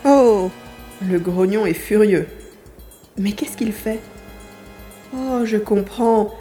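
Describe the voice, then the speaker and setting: A woman playing a plucky young boy adventurer in an audio drama, with an expressive delivery. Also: medium pitch, slow pace, soft background music, lightly acted. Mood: excited, alarmed, then tense narrating. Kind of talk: acted audio drama with inner narration.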